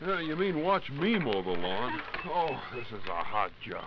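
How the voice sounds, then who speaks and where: Tired suburban father, hesitant and bluffing, animated; hissy vintage radio sketch. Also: mid-range voice, measured pace. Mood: weary, flustered. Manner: flustered, hesitant, huffing. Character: worn-out, bluffing suburban father humoring his daughter. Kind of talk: 1940s radio sketch acting. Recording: muffled, hissy 1940s radio recording.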